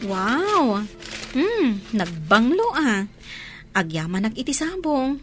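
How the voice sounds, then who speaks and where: A woman's voice: on the high side, very animated, takes it slowly, soft background music. A woman with an Ilocano accent playing a coy young village maiden being courted in a radio comedy drama. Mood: pleased, coy.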